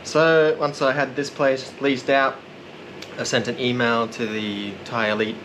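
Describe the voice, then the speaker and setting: Man, casual vlogger, rambling, self-deprecating, steady mid voice, clear background hum. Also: medium pitch, a little slow, low-quality recording. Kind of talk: casual vlog narration.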